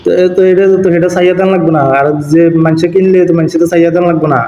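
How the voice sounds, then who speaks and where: A man's voice: high-pitched, flat delivery, rapid-fire, warm tone. A man chatting casually on a recorded phone call. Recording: roomy, faintly noisy phone call recording.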